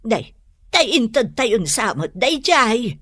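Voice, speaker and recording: An actress playing a gossiping neighbor wife in an Ilocano radio drama, with an Ilocano accent. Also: female voice, on the high side, very animated, slow pace, a bit bright, slightly rough, dry recording, heavily acted.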